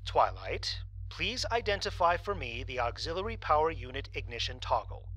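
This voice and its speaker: A woman playing a firm, by-the-book spaceship commander in an audio drama, with a husky voice and authoritative manner. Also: extremely low pitch, very animated, moderate pace, a bit bright, slight background noise, heavily acted, low-quality recording. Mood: stern.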